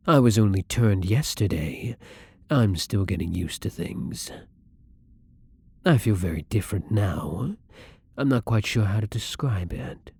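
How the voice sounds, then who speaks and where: A man playing a kind, newly turned vampire in an intimate ASMR roleplay, speaking softly and haltingly with polite, apologetic uncertainty, often slipping into a whisper. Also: lowish voice, breathy edge, dry recording, full character voice. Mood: musing, confiding.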